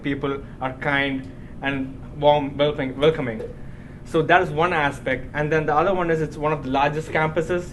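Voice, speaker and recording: A man from India answering questions as a student on a panel, in a measured way that repeats phrases for emphasis. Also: on the high side, a little hiss or hum.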